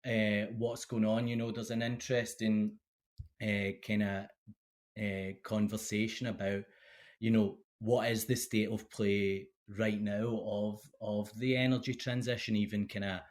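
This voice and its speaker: A Scottish man in his thirties, a guest speaking casually in an interview, halting with many fillers and restarts. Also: fairly low pitch, flat delivery. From Scotland. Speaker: guest, PhD candidate.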